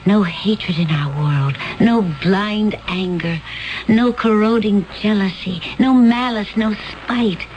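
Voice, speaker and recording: An actress playing a gentle, naive alien woman from a peaceful world in a 1970s radio drama. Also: mid-range voice, slow pace, dull audio, slight background noise, natural voice. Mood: wistful. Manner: soft, wondering, naive.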